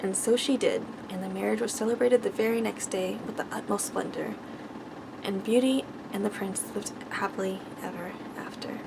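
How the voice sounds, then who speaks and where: Creaky-voiced woman reading a bedtime story. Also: medium pitch, moderate pace, heavy background noise. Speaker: ASMR storyteller.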